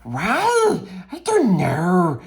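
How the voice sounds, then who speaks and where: A man voicing a wretched, whiny, gleeful cave creature who reads a children's storybook theatrically, with raspy, strained speech and muttered asides to himself. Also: high-pitched, wildly swooping pitch, drawn-out words, exaggerated voice. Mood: excited, theatrical. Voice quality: raspy, strained, swooping between growl and squeal. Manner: muttering asides to self, exclaiming, distorted pet words. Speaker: reader, host of the series.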